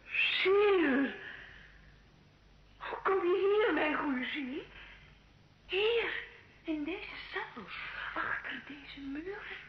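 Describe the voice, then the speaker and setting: A woman's voice: very high voice, expressive, drawn-out words, long pauses, heavily acted. An actress playing a devout, resigned young woman prisoner and visionary in a solemn, theatrical style of old radio drama. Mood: wondering. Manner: solemn, theatrical, hushed to impassioned. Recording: dull, roomy old radio recording with noise.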